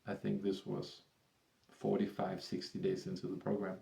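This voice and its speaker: A man with a Danish accent, a tutor speaking English as a second language and pitching one-on-one lessons to camera in a rambling, salesy way full of restarts. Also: monotone, normal speed, vocal fry, warm tone, echoey room. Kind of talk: persuasive talk to camera.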